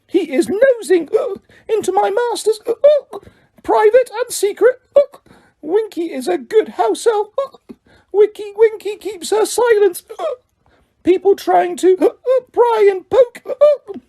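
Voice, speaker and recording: Brief: squeaky, stammering drunk elf girl, tearful, way up high and slow. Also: lively intonation, mellow, full character voice. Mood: tearful, slurring. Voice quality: squeaky, stammering with many uh's. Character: drunk, weepy female house elf, tiny. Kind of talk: audiobook character voice.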